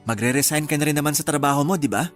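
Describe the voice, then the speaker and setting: A man's voice: on the high side, rapid-fire, soft background music, lightly acted. A man playing a teasing, loving young husband. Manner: teasing and affectionate. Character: playful affectionate young husband. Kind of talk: radio drama acting.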